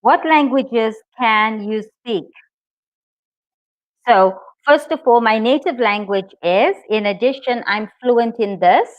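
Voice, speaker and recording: A woman whose native language is English, teaching a live online IELTS lesson, speaking slowly and clearly and cheering her students on. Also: fairly high pitch, no room sound, dull audio. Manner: slow, clear, encouraging, reads out student comments.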